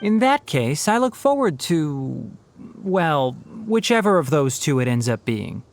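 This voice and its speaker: A man playing a refined, reserved young man who speaks formally and softly in a video game. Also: fairly high pitch, sing-song, unhurried, vocal fry, a little hiss or hum, exaggerated voice. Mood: wistful, dry.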